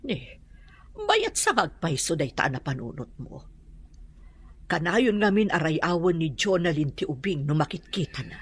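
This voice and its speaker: A worried Filipino wife in a radio drama. Her voice is slightly low and breathy, with very lively intonation. She sounds hesitant and questioning. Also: unhurried, exaggerated voice.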